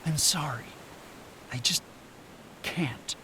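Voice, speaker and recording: An actor playing a reluctant, troubled anthropologist in an audio drama, measured and hesitant, with earnest, evasive delivery. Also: male voice, a little high, bright, forceful tone, slight background noise, heavily acted. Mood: hesitant, guarded.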